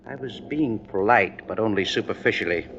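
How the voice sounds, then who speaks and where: A man's voice: lowish voice, moderate pace, a bit bright. A man acting an overly polite, menacing gunman with formal, pompous phrasing in a 1950s radio drama. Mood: politely menacing. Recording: dull, hissy old radio recording.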